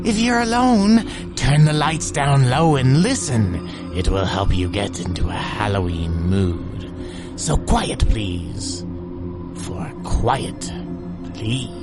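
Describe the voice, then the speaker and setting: A podcast host giving a scripted, theatrical introduction to a horror show with playful, spooky, drawn-out delivery. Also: medium pitch, expressive. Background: loud music.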